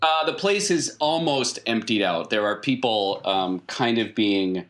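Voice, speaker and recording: Male game master with a lowish voice, improvising tabletop RPG narration conversationally, pausing as he finds colourful detail. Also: animated, fairly bright tone.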